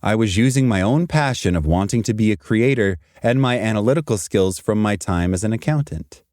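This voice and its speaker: A low-pitched, mellow male voice, recorded dry and close. He delivers a scripted motivational talk deliberately, landing short sentences with emphasis. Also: expressive, no echo. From Zimbabwe and South Africa. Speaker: podcast host and career speaker.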